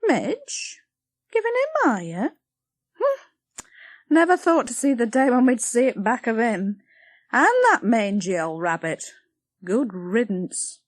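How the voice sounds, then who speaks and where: Scolding village cook, high and lively; woman reader doing her. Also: no echo, studio quality, exaggerated voice. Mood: dismissive. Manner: scolding, brisk, dismissive. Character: sharp-tongued middle-aged village baker woman.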